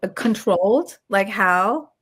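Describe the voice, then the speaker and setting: A woman's voice: mid-range voice, animated, takes it slowly, fairly bright tone, breathy edge. A woman hosting a podcast interview in a casual, conversational style.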